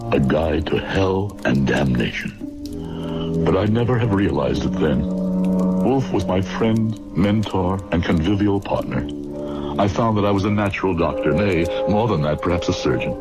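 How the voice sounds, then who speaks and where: A man's voice: low-pitched, expressive, measured pace, poor audio. An actor playing an earnest medical man in an old-time radio drama, formal and theatrical in his speech. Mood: rueful. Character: earnest young medical student, later a rueful narrator. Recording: old radio recording with music bed.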